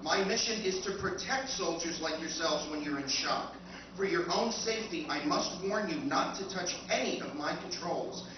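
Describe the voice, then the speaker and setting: A man's voice: a little high, expressive, moderate pace, a crowd in the background, natural voice. A man playing a stubborn, militaristic lifeboat computer, formal and declamatory, in a live recreation of 1950s radio science fiction. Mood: stern. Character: solemn, deluded, militaristic female lifeboat computer, motherly and stubborn. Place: convention performance room, picked up from afar. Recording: echoey convention hall, distant muffled noisy recording.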